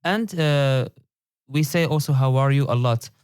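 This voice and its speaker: Man, smooth clear voice, dry sound, halting English. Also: mid-range voice, a little mellow, no room sound. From Turkey. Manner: halting, many fillers and restarts. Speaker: podcast guest. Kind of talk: casual discussion.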